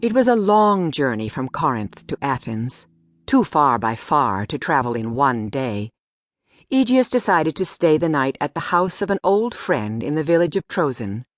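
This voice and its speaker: A woman narrating a storybook audiobook in an expressive, deliberate way. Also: deep voice, no room sound, studio quality.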